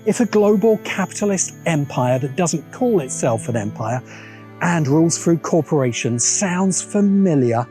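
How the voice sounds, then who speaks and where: A British man narrating a scripted video essay in a measured, emphatic, deliberate way. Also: on the high side, lively intonation, warm tone. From Britain. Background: background music.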